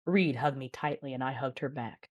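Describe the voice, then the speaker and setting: A woman playing a grieving female Jedi and mother, narrating her own tragedy in an emotive, measured audio drama style. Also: very deep voice. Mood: wistful. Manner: emotive, measured storytelling with anguished dialogue.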